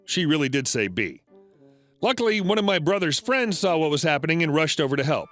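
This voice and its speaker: A man with a high-pitched voice reads reddit stories aloud in a scripted narration. His delivery is flat and even, broken by sudden jumps in pitch. Faint music plays quietly behind him. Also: brisk.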